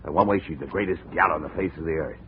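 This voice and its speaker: A gruff, excitable boxing manager with a very low voice. He rambles and blusters in vintage radio drama style. The sound is dull and hissy, like an old broadcast. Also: fast talker. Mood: grumbling, rambling. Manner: blustery, rambling, jumps from shouting to muttering. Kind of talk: 1950s radio detective drama acting. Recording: muffled, noisy 1950 radio recording.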